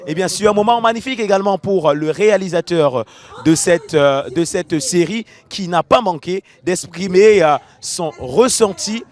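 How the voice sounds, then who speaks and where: A man reporting from a festival, speaking in a measured way with frequent hesitations. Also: high-pitched, darkish tone. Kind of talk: news report narration.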